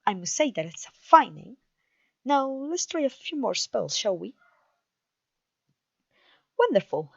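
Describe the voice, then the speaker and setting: A woman's voice: fairly high pitch, sing-song, unhurried, vocal fry, pauses often, breathy edge, no echo, dull audio, heavily acted. A woman with a Mexican accent playing a clumsy, cheerful witch teacher in an ASMR roleplay, theatrical and genteel in her encouragement. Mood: delighted, encouraging. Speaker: voice actor.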